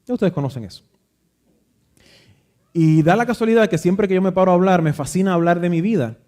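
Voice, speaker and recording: A man with a Dominican accent, reading scripture aloud and then telling a reflective story about growing up, pausing and restarting now and then. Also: high-pitched, darkish tone. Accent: Dominican Spanish. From Dominican Republic. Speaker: preacher. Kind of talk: Bible reading and devotional talk.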